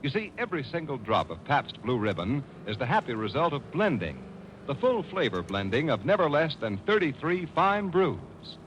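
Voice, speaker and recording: A man's voice: mid-range voice, sing-song, normal speed, fairly bright tone. A man announcing a 1940s radio commercial in emphatic, polished sales patter. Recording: noisy old 1940s radio broadcast.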